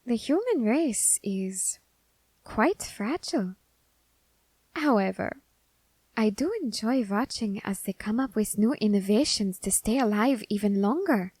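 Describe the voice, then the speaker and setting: A woman playing a scholarly, quietly menacing Grim Reaper in an ASMR roleplay, speaking in formal, deliberate phrases with pauses. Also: medium pitch, expressive, husky, no room sound. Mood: intrigued, musing. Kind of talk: ASMR character roleplay audio drama.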